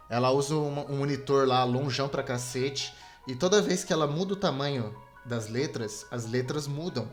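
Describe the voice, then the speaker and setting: A young adult man with a Brazilian Portuguese accent rambles casually as a solo podcast host, slangy and self-deprecating. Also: mid-range voice. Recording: video game music behind the voice. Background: Super Nintendo game music.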